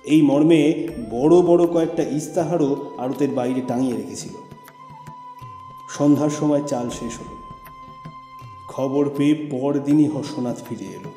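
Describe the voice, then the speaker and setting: A man's voice: mid-range voice, a little slow, pauses often, mellow. A man reading a short story aloud as dramatic scripted narration. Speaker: audio story narrator and reader. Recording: echoey reverberant room with music bed. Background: background music.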